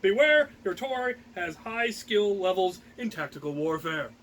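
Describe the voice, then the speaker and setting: A man hams up a pompous toy-commercial announcer, high and bright. The pitch is melodramatic and grand, selling a dashing pirate doll in mock-advert style, with faint noise behind. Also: expressive, measured pace. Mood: mock-official. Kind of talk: mock advertisement read-aloud.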